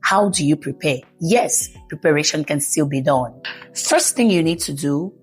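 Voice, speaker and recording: A woman's voice: low voice, lively intonation, normal speed, soft background music. A woman teaching public speaking, who talks with emphasis and repeats her key points. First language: English. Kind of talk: instructional talk.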